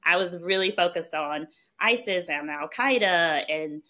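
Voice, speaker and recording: A woman with a strong Southern accent speaks in a lowish voice with a forceful, bright edge. She is a chatty podcast guest who tells her career story in a conversational way, dotted with "um" and "right?". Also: a little slow. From Mississippi, US. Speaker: podcast guest, policy expert. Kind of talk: conversational interview.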